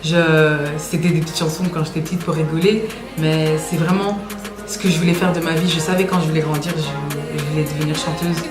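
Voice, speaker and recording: A woman's voice: deep voice, brisk, over music. Young woman, casual, echoey room. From Belgium, Brussels. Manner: relaxed, slangy, with frequent hesitations. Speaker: singer interviewed about her music. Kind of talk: casual interview answers.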